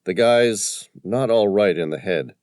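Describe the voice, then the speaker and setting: A man voicing a calm adult male investigator with a dry, understated manner. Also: fairly low pitch, unhurried, no room sound. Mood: wry.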